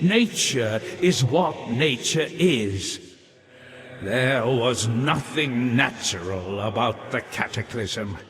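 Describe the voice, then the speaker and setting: An actor playing a weary, melancholy scholar for a video game, speaking in measured, theatrical, literary phrases with a wry, reflective air. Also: male voice, mid-range voice. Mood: grave, haunted. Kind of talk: scripted video game character dialogue. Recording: long hall reverb, faint noise.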